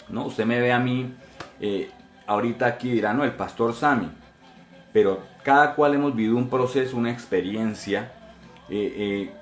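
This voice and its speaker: A man's voice: lowish voice, reverberant. A man in his forties with a Colombian accent, a pastor giving an earnest testimony in a sermon, addressing listeners directly and often repeating himself. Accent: Colombian Spanish. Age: 40s.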